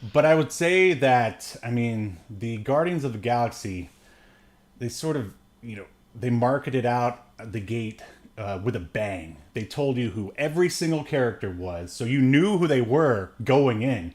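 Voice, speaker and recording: Man chatting haltingly as podcast co-host. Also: medium pitch, animated, darkish tone. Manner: halting, chuckles through sentences. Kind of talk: casual chat, movie news commentary.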